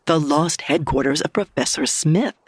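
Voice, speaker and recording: A woman's voice: low-pitched, moderate pace, background noise, lightly acted. A woman playing a doubtful henchwoman. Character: cautious female henchwoman Ardela.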